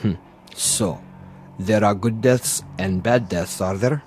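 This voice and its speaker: A rough-minded henchman who kills without any finesse. His voice is on the low side, plain and matter-of-fact, yet deferential to his superior. Music plays faintly beneath the drama. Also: slow, darkish tone. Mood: blunt, puzzled. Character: blunt, deferential male henchman, a butcher-like killer. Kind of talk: dramatic TV-style dialogue acting.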